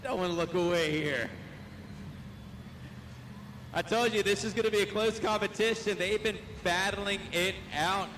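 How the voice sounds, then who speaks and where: A man's voice: sits high, a little slow, pauses often, noisy background. A male arena announcer losing his voice, calling a live monster truck event and pumping up the crowd. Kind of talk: live play-by-play commentary. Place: indoor arena.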